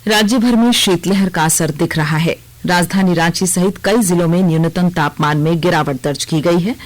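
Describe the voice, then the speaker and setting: A woman with an Indian accent reads a scripted radio news bulletin in a formal, measured way. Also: lowish voice. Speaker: radio newsreader.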